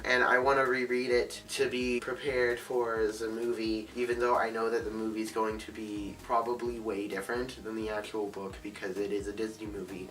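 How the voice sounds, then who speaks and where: A man's voice: mid-range voice, fairly bright tone, distant mic, poor audio. A young man with a cold and a stuffy, congested voice, chatting casually about his favorite books in a rambling way with lots of fillers. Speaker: book vlogger. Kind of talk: casual book talk to camera.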